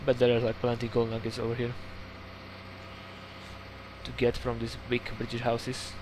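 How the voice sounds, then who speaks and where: A man's voice: mid-range voice, completely flat delivery, normal speed. A young man with a Slovak accent, rambling casually as he plays a farming game. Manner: chatty, rambling, with laughs and mild swearing. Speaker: gaming YouTuber. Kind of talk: casual let's-play commentary. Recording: game sounds and engine noise behind.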